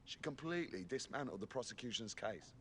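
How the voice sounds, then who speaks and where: An actor playing an eager gossip in a video game, telling things emphatically in short, clipped phrases. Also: male voice, medium pitch, expressive, fairly quick, a little hiss or hum, lightly acted. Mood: eager, impressed. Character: male gossip, eager explainer of a scandalous trial. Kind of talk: video game character dialogue.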